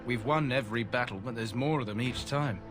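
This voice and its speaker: An actor playing a doubtful, worried soldier in a fantasy game, downcast in manner. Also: male voice, medium pitch, a little fast, music in the background, lightly acted. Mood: uneasy. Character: uneasy, doubtful male soldier in war camp. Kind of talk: fantasy video game acting.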